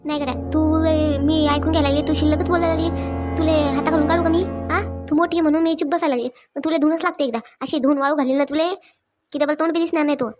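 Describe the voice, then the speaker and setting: A hot-tempered cartoon village wife, played by a woman, with a high voice and very quick delivery. Her rural Maharashtrian accent carries indignant scolding and threats, kept on a fairly even tone. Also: soft background music, close to an everyday voice. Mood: threatening. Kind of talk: Marathi comedy cartoon dialogue.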